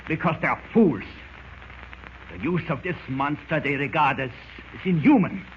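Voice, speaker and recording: An actor playing a cold, fanatical German spymaster in a 1940s radio drama, with a German accent and a formal, deliberate, menacing manner. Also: male voice, on the high side, expressive, natural voice. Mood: scornful, commanding. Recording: scratchy, muffled old-time radio recording.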